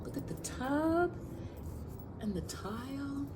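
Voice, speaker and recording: A woman's voice: high-pitched, expressive, slow pace, noisy background. An American woman reading a picture book aloud as a children's librarian, expressively, speaking directly to young listeners.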